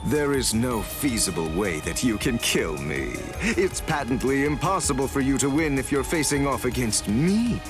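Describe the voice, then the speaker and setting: An actor playing a vain, gloating villain in an anime game, lecturing in a pompous, theatrical way. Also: male voice, on the high side. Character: vain, gloating male villain obsessed with immortality and perfection. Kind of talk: anime video game dub acting.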